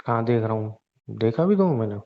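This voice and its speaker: A man's voice: medium pitch, lively intonation, brisk, close to an everyday voice. A man playing a childish, clingy husband who whines, teases and cajoles his wife in a naturalistic audio drama. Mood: whiny. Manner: whiny, cajoling, playfully stubborn. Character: childish, clingy, teasing husband wanting pampering. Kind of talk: naturalistic Hindi audio drama of couple banter. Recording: dull lo-fi audio.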